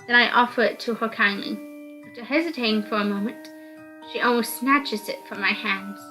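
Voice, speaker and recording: A woman's voice: on the high side, moderate pace, music in the background, low-quality recording. A woman reading first-person story narration aloud from a visual novel.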